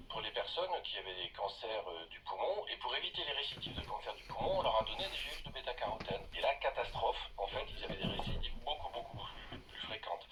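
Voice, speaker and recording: Male doctor for radio interview: low, very animated, didactic delivery, slightly distant sound. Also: background noise. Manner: didactic, emphatic, restates points. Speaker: doctor, studio guest. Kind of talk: radio interview, explanatory talk.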